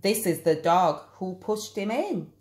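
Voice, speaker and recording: A woman reading a children's picture book aloud in an expressive, deliberate storytelling manner. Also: mid-range voice, reverberant. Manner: slow, animated, deliberate storytelling.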